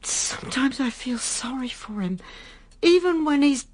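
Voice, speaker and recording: A woman's voice: high-pitched, lively intonation, measured pace. An actress playing a timid, earnest married woman in a radio drama, hesitant, deferential and easily flustered. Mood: flustered, distressed.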